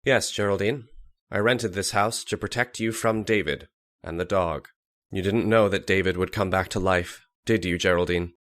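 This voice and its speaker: A man playing a composed, scheming doctor in an old-time radio mystery, speaking in a measured, coaxing way. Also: low voice, fairly even intonation, fairly bright tone, no room sound, clean studio sound. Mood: coolly menacing.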